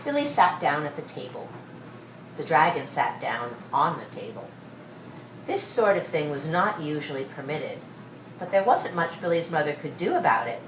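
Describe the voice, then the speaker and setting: A woman reading a children's story aloud with expressive, measured storytelling. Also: low voice. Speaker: storybook reader. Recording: roomy, distant mic, faint noise.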